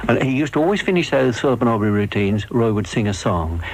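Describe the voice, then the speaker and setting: An older man, a veteran performer, reminiscing in an interview with a halting, repetitive, fond way of telling stories. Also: mid-range voice, animated. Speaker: veteran comedy straight man recalling stage work.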